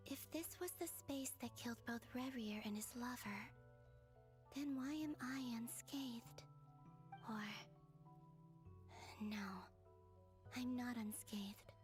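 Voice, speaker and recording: A woman playing a weary, wary but resolute heroine in a video game, speaking in a hushed, breathy voice. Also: on the high side. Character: weary, wary, resolute woman stranded alone in a strange realm. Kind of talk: game voice acting, inner monologue.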